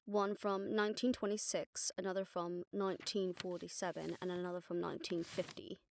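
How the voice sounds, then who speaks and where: Wry witch detective played by a woman, creaky voice. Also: fairly low pitch, flat delivery, brisk, slight background noise. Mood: focused, explaining. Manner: casual, wry, mildly swearing, explanatory. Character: exasperated, sharp-tongued witch detective briefing her partner. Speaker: audio roleplay voice actor. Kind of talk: conversational audio roleplay acting.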